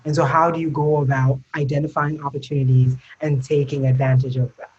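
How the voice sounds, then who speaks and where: A podcast host who praises the guest warmly and asks long, winding questions. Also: medium pitch, level tone, fairly quick, breathy edge, lo-fi sound. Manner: effusive, affirming, rambling questions. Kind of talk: podcast interview.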